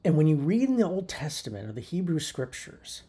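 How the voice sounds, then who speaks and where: A man preaching a sermon from the pulpit of a church. His voice is mellow and slightly husky, and he speaks in a thoughtful, unhurried way. He often restarts his phrases as he works his thoughts out aloud. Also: on the high side, sing-song. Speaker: pastor. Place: church sanctuary.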